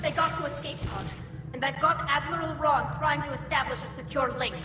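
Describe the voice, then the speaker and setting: A woman's voice: high voice, fairly even intonation, a little fast, natural voice. A woman playing a capable, earnest young alien engineer and admiral in a video game. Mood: urgent. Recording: roomy reverb, distant, noisy battle ambience.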